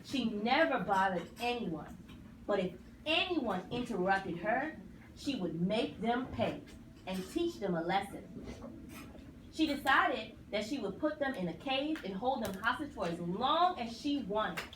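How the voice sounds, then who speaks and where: Animated woman narrator, roomy distant recording. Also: on the high side, measured pace. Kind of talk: scripted children's story narration. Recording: roomy, distant mic, clear noise.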